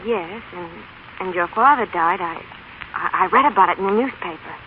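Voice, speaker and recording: An actress playing an eager, insecure working-class young woman, halting and earnest, in a scratchy 1930s radio drama. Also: mid-range voice, narrow, tinny audio, close to an everyday voice. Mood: hesitant.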